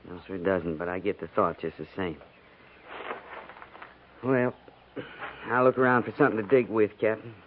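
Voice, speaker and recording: An actor playing a dutiful, grim cavalry sergeant in a 1950s radio western, plain-spoken, folksy and respectful. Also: male voice, on the low side, fairly even intonation, normal speed, long pauses, close to an everyday voice. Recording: noisy, tinny 1950s radio recording.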